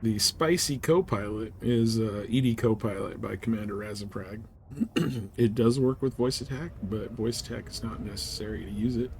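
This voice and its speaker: A man's voice: lowish voice, moderate pace, darkish tone, slight background noise. A man doing casual, laid-back live gameplay commentary, muttering to himself as he goes. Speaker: live game streamer.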